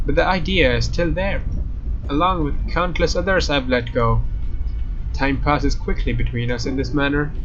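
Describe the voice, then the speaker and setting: A man reads visual novel narration aloud as a let's play host, dropping in casual comments. His voice is a little higher than average. The recording is slightly dull, with soft game music behind. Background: faint game music.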